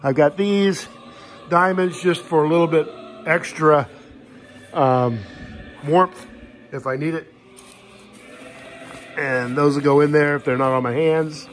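Man casually talking, slightly higher-pitched voice. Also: slow pace, pauses often, a little hiss or hum. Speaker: hiker showing gear. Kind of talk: casual unscripted gear walkthrough. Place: indoors in a home living room. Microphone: handheld phone camera, speaker behind it.

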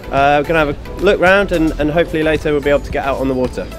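A man in his thirties hosting casually at an indoor boat show stand, relaxed and hesitant, with fillers. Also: a little high, very fast, music in the background. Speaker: host. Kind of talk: casual interviewing at a trade show.

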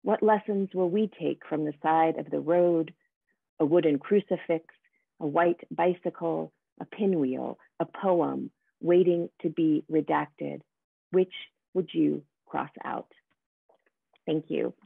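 Slow, husky woman reading poetry. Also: sits low, no room sound. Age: middle-aged. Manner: measured, deliberate phrasing. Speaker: poet. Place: living room at home, indoors. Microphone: computer mic on video call. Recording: phone-like narrow video-call sound.

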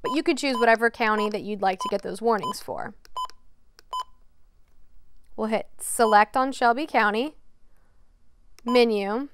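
A young woman, a TV meteorologist, giving a clear step-by-step product demonstration. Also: fairly high pitch, unhurried, pauses often, noisy background. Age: young adult. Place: TV weather studio.

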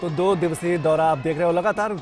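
Male TV reporter, live commentary, fairly high voice, cheering crowd and music underneath. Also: fairly quick. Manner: repetitive, urgent live narration with fillers. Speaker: TV news reporter. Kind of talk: live news commentary over roadshow footage. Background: crowd chanting and music.

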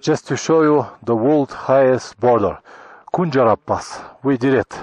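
A middle-aged Bulgarian man talking to his camera on a motorcycle trip, in halting, grateful English full of restarts, recorded outdoors on a helmet or handheld action camera. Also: mid-range voice, darkish tone, dry recording. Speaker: motorcycle travel vlogger. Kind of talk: casual travel vlog talk to camera. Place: high mountain pass outdoors. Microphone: action camera on helmet or in hand.

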